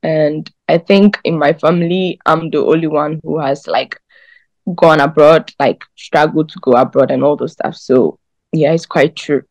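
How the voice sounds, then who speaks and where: A woman's voice: low-pitched, steady tone, unhurried, a little mellow, dry recording, rough recording. A young woman from Ghana giving a hesitant testimony over a video call from a small room. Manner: hesitant, lots of fillers. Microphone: video call laptop.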